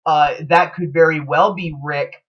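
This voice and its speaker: A man's voice: fairly high pitch, fairly even intonation, unhurried. A man giving casual, speculative commentary as a vlog host, in a hesitant, rambling manner. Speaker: host of a TV show theory vlog. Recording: dull, lo-fi recording.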